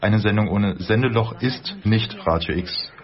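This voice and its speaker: A man's voice: on the low side, a little slow. A man co-hosting a radio show, chatting casually with a joking manner. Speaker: radio co-host. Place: radio studio. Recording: dull radio sound with faint noise.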